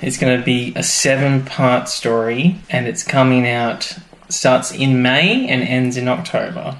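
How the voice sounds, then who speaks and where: A man's voice: medium pitch, fairly even intonation, measured pace, a bit bright, slight background noise. Male fan-podcast host, mid-range voice, chatty and eager, informal phrasing, roomy sound. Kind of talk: casual banter about a sci-fi series. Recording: roomy echo, faint music behind.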